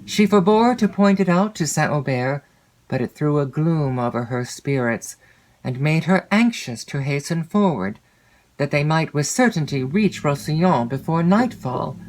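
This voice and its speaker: A woman reading a classic literary novel as an audiobook narrator, measured and expressive, lingering over descriptive phrases. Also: sits low, a little hiss or hum, rough recording.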